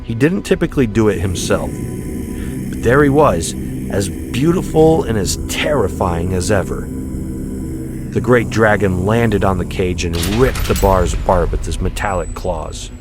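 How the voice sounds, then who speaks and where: A man's voice: sits low, animated, a little slow. A man giving a dramatic, scripted audiobook narration of a story, with music behind him.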